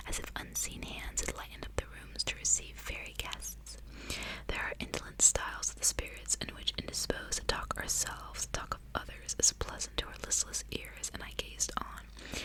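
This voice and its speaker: A woman reading aloud in a soft, breathy, hushed whisper, in an intimate ASMR style. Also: rough recording. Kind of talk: whispered ASMR audiobook reading with casual asides.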